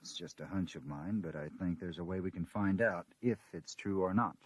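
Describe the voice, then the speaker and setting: A man playing a steady, guarded government councilman in a science fiction audio drama, speaking in a measured way. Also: low-pitched, no echo. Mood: conspiratorial.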